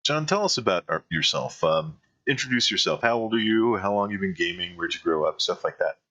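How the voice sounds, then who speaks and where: A man hosting an interview show, upbeat and asking quick questions. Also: low-pitched, lively intonation, pushed and bright. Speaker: podcast host. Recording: dull lo-fi audio.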